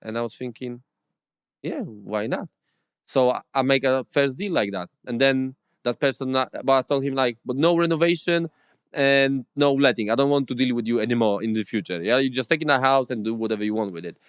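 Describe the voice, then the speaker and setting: A man who is a property investor, telling his story as an interview guest in halting, non-native English with many fillers and restarts. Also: medium pitch, smooth, dry recording, studio quality.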